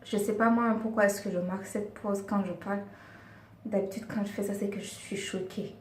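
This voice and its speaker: A woman's voice: mid-range voice, steady tone, normal speed. A woman giving earnest, coaxing beauty advice in a casual vlog, speaking a second language and sometimes searching for words. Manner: earnest, coaxing, often addresses her viewers as girls. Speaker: beauty and lifestyle vlogger. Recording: roomy echo, distant mic, faint background hiss.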